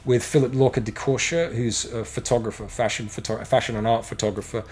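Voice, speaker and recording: A man's voice: mid-range voice, fairly even intonation, a little fast, darkish tone. A middle-aged American man, a podcast guest, talking casually in a rambling way with many restarts and some blunt profanity. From United States. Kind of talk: casual interview chat.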